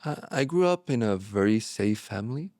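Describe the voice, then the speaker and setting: A man whose first language is Spanish, speaking candidly as an interview guest, reflective and halting with frequent restarts. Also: fairly low pitch, animated, darkish tone, no room sound, studio quality. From born in Morocco, raised abroad. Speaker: guest, relapse prevention therapist.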